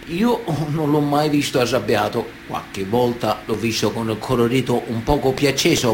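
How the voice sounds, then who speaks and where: An actor playing a wily, indignant, semi-literate Sicilian mafioso with a Sicilian accent, pleading emphatically to a judge in a theatrical radio monologue with drawn-out pauses. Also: male voice, on the high side, a bit bright, slight background noise, exaggerated voice. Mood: insinuating, menacing. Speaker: stage actor.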